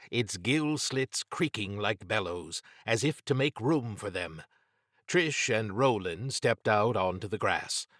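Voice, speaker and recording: A man narrating horror fiction as an audiobook, with measured, dramatic storytelling. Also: on the low side, fairly bright tone, no echo, clean studio sound.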